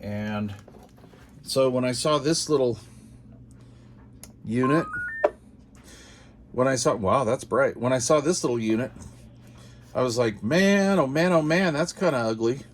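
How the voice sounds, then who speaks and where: A man's voice: mid-range voice, unhurried, pauses often, slight background noise. A man hosting a casual product unboxing, talking informally and musing aloud in short phrases. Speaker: host of a radio gear channel.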